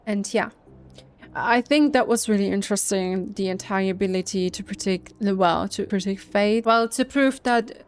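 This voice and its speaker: A woman streaming a game playthrough with casual, chatty commentary, musing and halting as she goes. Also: medium pitch. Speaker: streamer, let's player. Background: game music.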